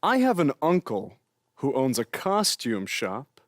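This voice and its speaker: An actor playing a laid-back, goofy wrestler sidekick in a video game cutscene, casual and wry. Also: male voice, mid-range voice, huge pitch swings, unhurried, dry recording.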